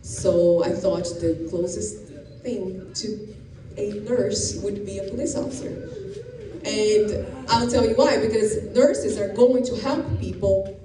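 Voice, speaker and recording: A woman's voice: mid-range voice, slow pace. Woman, middle-aged, from Brazil, police officer addressing teens, explanatory, distant roomy noisy recording. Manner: explanatory, frequent restarts and fillers. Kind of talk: informal talk to students. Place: school classroom or gym. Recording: echoey room, distant and noisy.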